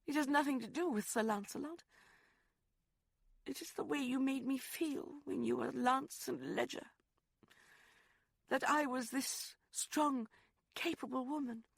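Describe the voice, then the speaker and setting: A man voicing a proud, hurt young woman in an audiobook, pleading and sharp. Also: very high-pitched, a little slow, vocal fry, long pauses, dry recording, studio quality, pitched above the performer's own voice, exaggerated voice. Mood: wounded. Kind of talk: audiobook character voice.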